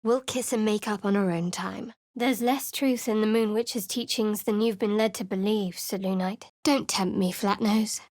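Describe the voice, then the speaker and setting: A woman's voice: mid-range voice, fairly even intonation, moderate pace, hardly any pauses, a little mellow, no echo, lightly acted. An actress voicing a guarded young female cleric in a video game, speaking in a cool, wry, secretive way in a dry studio recording. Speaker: game character. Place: studio booth. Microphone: studio mic.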